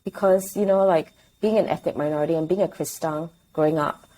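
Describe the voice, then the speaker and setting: A woman in her thirties from Singapore, talking as a podcast guest. Her voice is mellow and slightly low, and she speaks reflectively, pausing and correcting herself as she goes. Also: a little hiss or hum, poor audio. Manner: reflective, hesitant, self-correcting. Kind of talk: casual interview.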